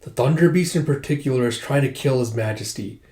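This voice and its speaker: A man playing a wary, brooding army general who deliberates gravely in a retold story. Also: medium pitch, a little mellow, lightly acted. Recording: roomy, echoing sound.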